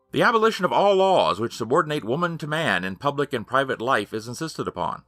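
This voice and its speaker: A man's voice: mid-range voice, pushed and bright, dry recording. A man narrating an audiobook in a formal, emphatic, declamatory manner.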